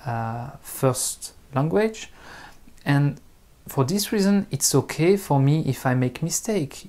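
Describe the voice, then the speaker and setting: French-accented male host, unhurried and halting, frequent filler pauses, off-the-cuff talk to viewers. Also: on the high side, a little mellow. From France. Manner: hesitant, pausing often with ums and uhs. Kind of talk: unscripted talk to camera.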